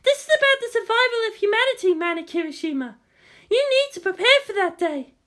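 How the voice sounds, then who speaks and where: A narrator putting on a very high-pitched, grim woman lieutenant. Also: lively intonation, a little fast, a bit bright. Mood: solemn, urgent. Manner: formal, earnest speech-making. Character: grim, resolute young female military lieutenant.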